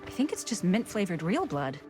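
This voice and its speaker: Dry, expressive young American woman. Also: lowish voice, brisk, over music. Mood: dry, casual. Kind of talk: naturalistic video game acting.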